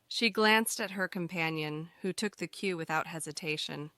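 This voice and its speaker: A woman's voice: lowish voice, normal speed, sharp tone, clean tone, no echo. A woman reading scripted third-person fiction narration as an audiobook narrator.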